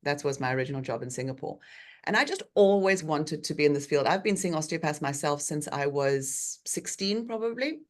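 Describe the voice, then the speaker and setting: A woman in her forties from South Africa, an osteopath giving a chatty online talk with frequent ums, on a video call from a bright treatment room. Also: low-pitched, expressive, a little fast. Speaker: osteopath guest speaker.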